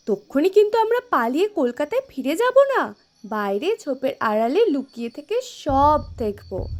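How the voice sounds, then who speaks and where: A woman playing a bold, cheeky, loyal young girl in an audio story, eager and outspoken. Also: high voice. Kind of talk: Bengali audio story acting.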